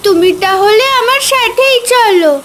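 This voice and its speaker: A woman's voice: very high voice, expressive, measured pace, a bit bright, slight background noise, heavily acted. A woman voicing a poor, innocent little village girl in a cartoon, earnest and childlike.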